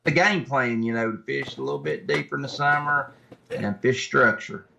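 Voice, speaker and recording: Gravelly, bright-voiced man, casual interview guest. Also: medium pitch, normal speed, muffled recording, slight background noise. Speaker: podcast guest, tournament kayak angler.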